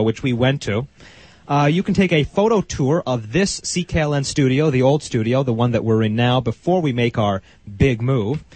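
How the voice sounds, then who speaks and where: Husky-voiced man co-hosting community radio. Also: mid-range voice, a little mellow, no room sound. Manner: chatty, reads announcements briskly. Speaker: radio co-host. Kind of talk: community radio talk show. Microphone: studio microphone.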